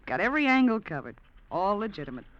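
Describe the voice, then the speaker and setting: A woman's voice: medium pitch, big pitch swings, fast talker, close to an everyday voice. An actress playing a greedy, scheming gangster's girlfriend in an old radio drama, taunting and insistent. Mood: knowing, sly. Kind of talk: 1940s-50s radio drama acting. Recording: muffled vintage radio recording, background hiss.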